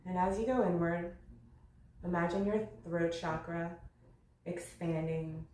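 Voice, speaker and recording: A woman leading a guided yoga meditation, speaking gently in short, unhurried phrases with long pauses between them. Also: fairly low pitch, fairly even intonation. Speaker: yoga nidra meditation instructor. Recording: roomy echo with faint background noise.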